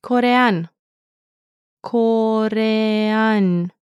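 A woman teaching a language, saying each word slowly and clearly twice in a scripted lesson. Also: a little high, no echo, dull audio. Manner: slow careful pronunciation with repeats. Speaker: native-speaker language teacher.

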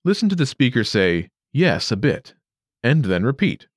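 Man narrating a lively language lesson. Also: medium pitch, no room sound, studio quality. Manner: clear, measured teacher delivery. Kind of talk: scripted instructional narration.

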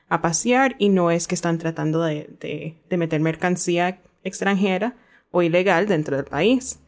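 Woman hosting a language lesson. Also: lowish voice. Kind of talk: bilingual lesson presentation and casual chat.

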